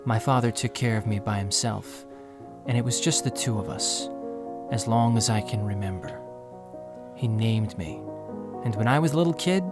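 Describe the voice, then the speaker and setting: Wistful young narrator-hero, played by a man, mid pitch, music behind. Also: lightly acted. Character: young man in wheelchair, narrating his past. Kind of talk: audio drama narration.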